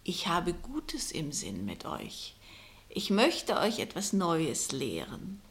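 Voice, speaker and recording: A mysterious wise woman in a 1960 radio play, with music present. She declaims like an oracle in a middle register, solemn and poetic, sometimes dropping to a breathy hush. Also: mid-range voice. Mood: solemn, mystical. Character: mysterious fortune-teller woman casting spells, solemn and knowing. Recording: vintage 1960 radio drama recording.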